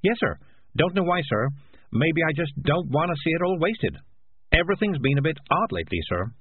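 A grumpy, world-weary talking cat played by a man in a fantasy storybook. He is sardonic and blunt, a little husky and very expressive, heard through a narrow radio-like band. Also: fairly high pitch, a little fast, pitched above the performer's own voice. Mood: anxious, deferential. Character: Morris, grumpy, cynical, streetwise talking tomcat.

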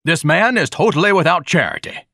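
An audiobook character who is a grandiose, bullying man. He declaims in over-formal language with a sharp, forceful edge, and his pitch swings widely in outrage. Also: animated, a little fast, dry recording, studio quality, heavily acted. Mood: indignant.

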